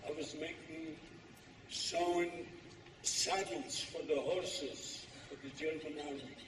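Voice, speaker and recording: A man's voice: fairly high pitch, steady tone. Older man speaking in an echoey hall. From Poland. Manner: slow, halting, plainspoken. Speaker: keynote speaker. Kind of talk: personal testimony speech. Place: large hall, live event. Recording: echoey hall from far off with noise.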